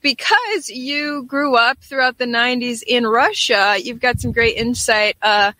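Bright-voiced upbeat woman hosting casual chat. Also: fairly high pitch, a little slow, no room sound, low-quality recording. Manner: upbeat, informal. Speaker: talk show host.

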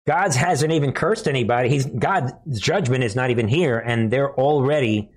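A man's voice: medium pitch, normal speed. A man hosting an informal commentary show, speaking to viewers in short, preachy, alarmed phrases with sarcastic asides. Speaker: host and commentator.

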